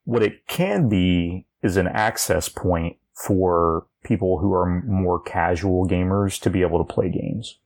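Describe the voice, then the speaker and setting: Male podcast co-host. Deep, fry-laden voice, conversational, opinionated and explanatory. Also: measured pace, few pauses, a little mellow. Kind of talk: casual chat about video game news.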